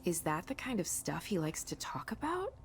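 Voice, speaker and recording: A woman's voice: lowish voice, moderate pace. A woman playing a curious, self-deprecating young woman in a visual novel, in a natural conversational way. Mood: impressed, teasing. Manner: conversational, animated, self-effacing. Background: game music.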